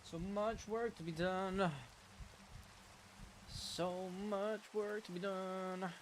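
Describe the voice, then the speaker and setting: A man's voice: high voice, fairly even intonation, drawn-out words, slight background noise. A man live-streaming from his room, sniffly, muttering casually to himself as he sets things up, with slangy, offhand talk. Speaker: livestreamer. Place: home room.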